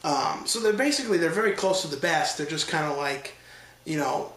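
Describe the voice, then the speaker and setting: A man's voice: on the high side, lively intonation, fairly quick, a bit bright, roomy sound. A man with a stuffed-up nose from allergies giving a loose, rambling casual review, with many fillers and restarts. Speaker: horror film reviewer.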